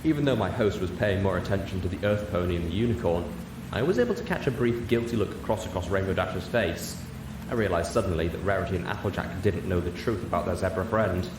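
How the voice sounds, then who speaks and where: A man's voice: deep voice, expressive, fast talker, background noise. A man reading scripted fiction aloud as an audiobook narrator. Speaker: audiobook reader.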